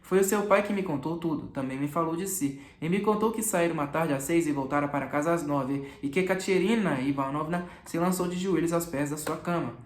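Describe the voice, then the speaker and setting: A man's voice: fairly high pitch, brisk, hardly any pauses, natural voice. A man voicing a brooding, troubled man in an audiobook, somber, abrupt and questioning. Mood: probing, somber. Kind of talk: audiobook character reading. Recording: echoey room.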